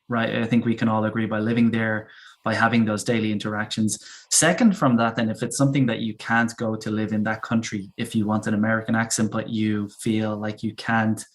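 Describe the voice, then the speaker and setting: Man, low voice, English teacher, chatty, repeats words, casual lesson talk.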